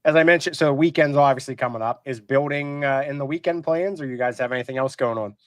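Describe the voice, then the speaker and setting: A man's voice: medium pitch, fast, dry recording. Male host of a live video-call show, under the weather and sniffly, rambling friendly chat, dry close sound. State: stuffy from a cold.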